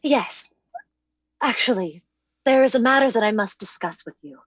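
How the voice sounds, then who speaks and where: A woman's voice: a little high, lively intonation, normal speed, dry recording. An actress playing a polite, reserved and sensitive young woman in an old-time radio drama. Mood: polite, restrained. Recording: dull, dry, lo-fi sound.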